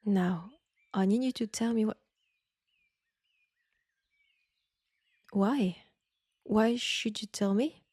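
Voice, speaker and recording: A woman with a French accent playing a caring but firm wartime army nurse in an intimate roleplay, speaking softly and breathily in a coaxing way. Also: medium pitch, unhurried, no echo, clean studio sound. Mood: firm, exasperated. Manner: soft, breathy, gently coaxing. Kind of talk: intimate roleplay audio acting.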